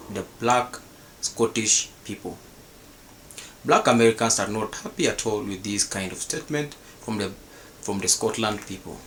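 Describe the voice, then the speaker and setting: A man hosting his own channel, reading a formal, repetitive script with pauses and restarts. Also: fairly low pitch, fairly bright tone, a little hiss or hum, low-quality recording. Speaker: channel host. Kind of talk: scripted reaction-channel narration.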